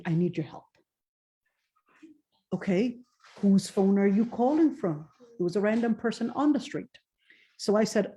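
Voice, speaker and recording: A woman lecturing on faith and hardship, speaking earnestly, slowing down on emphatic phrases and reciting Arabic verses. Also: mid-range voice, dark tone. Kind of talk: Islamic lecture.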